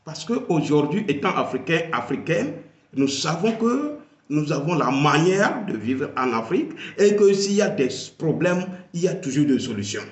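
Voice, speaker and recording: A man analysing a video, speaking slowly and emphatically and repeating key phrases. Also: a little high, sing-song, echoey room. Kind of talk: political commentary.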